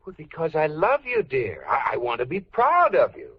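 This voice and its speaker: A man playing a scheming husband turned diet coach in an old radio sitcom, brisk, cajoling and exasperated in a comic way. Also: on the high side, wildly swooping pitch, slightly rough, full character voice. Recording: muffled, noisy old radio recording.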